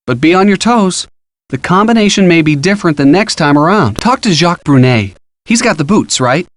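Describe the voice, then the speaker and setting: An upbeat young American man voiced by a male actor coaches a detective through an adventure game. His voice sits a little high and moves in a lively way, casual and encouraging, with playful asides. The sound is close and dry. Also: brisk, dry recording, lightly acted. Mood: helpful, casual. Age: young adult. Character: helpful upbeat college-age boyfriend giving detective hints. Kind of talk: scripted game hint dialogue.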